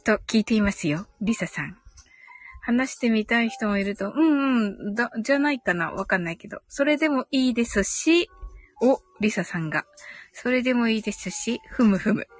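A woman's voice: on the high side, lively intonation, normal speed, no echo. A woman hosting a casual live audio chat, giggly and chatty, reading out comments and murmuring agreement. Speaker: host of a live audio stream.

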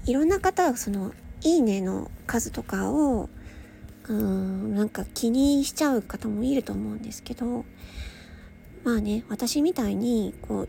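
A woman's voice: on the high side, vocal fry, a little hiss or hum. A woman chatting casually to her listeners, hesitant and meandering, often giggly, sounding tired with slightly slurred speech. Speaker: audio channel host and hobby voice performer. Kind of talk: casual solo chat to listeners. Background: soft background music or ambience.

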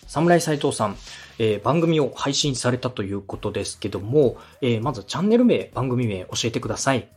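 Polite male podcast host, slightly husky. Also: medium pitch, sing-song, brisk, darkish tone, soft background music. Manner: polite, encouraging. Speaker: podcast host and radio director. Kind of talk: structured podcast interview with feedback.

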